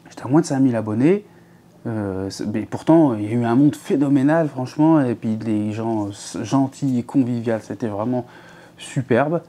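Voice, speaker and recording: A man in his thirties chats casually into a clip-on mic from his living room, rambling along with fillers and false starts. His voice is mellow and relaxed. His pitch sits in an ordinary middle range. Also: moderate pace. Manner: chatty, rambling, many fillers and restarts. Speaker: fishing channel host. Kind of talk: casual chat to viewers. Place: living room at home, seated in an armchair.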